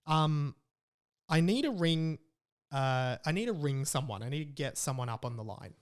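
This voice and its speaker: Higher-voiced Australian man, podcast host. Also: animated, moderate pace, clear, resonant tone. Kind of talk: casual podcast chat.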